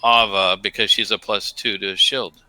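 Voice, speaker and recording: A man's voice: on the low side, steady tone, normal speed, heavy background noise. A man joking around casually as a player in a tabletop game.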